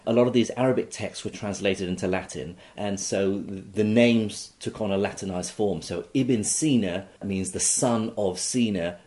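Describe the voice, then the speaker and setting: A man's voice: on the low side, normal speed, few pauses, dark tone. A man who grew up in Iraq, an author being interviewed, explaining at length with many fillers and restarts. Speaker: author, guest. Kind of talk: interview conversation.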